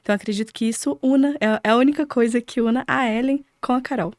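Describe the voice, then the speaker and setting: A woman's voice: fairly high pitch, steady tone, fast talker, no echo, studio quality. A woman from Paraná with a Brazilian accent, a podcast guest telling her story casually and reflectively in short listed phrases. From Cambé, Paraná, Brazil.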